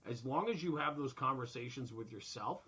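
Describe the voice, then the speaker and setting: A man's voice: mid-range voice, lively intonation, brisk, sharp tone. A man hosting a casual podcast conversation, speaking earnestly and reflectively, often posing rhetorical questions. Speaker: podcast host.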